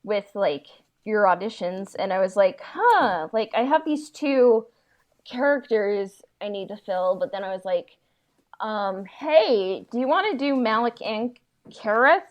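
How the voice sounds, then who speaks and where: A woman's voice: medium pitch, lively intonation, measured pace. A woman co-hosting a podcast in casual chat, speaking very informally and peppering her talk with "like". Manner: informal, frequent "like". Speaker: podcast co-host.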